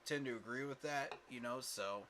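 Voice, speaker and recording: A man's voice: mid-range voice, normal speed, bright, forceful tone, slight background noise. A man hosting a casual fan livestream, talking emphatically with lots of fillers and repeated words, tired from little sleep. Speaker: fan channel host.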